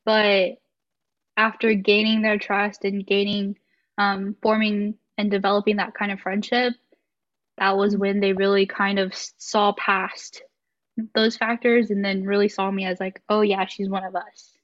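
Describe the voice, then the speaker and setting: Soft-spoken young woman, a bit muffled. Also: medium pitch, flat delivery, a little slow. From United States. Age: 20s. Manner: thoughtful, hesitant, many fillers. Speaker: podcast guest. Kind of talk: casual podcast conversation. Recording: slightly muffled lo-fi audio.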